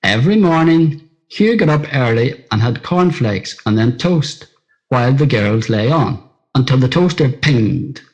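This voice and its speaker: A man's voice: medium pitch, expressive, unhurried, darkish tone, muffled recording. A middle-aged man with a Northern Irish accent reads his own scripted personal story in a simple, plain-spoken storytelling manner. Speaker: writer reading own story.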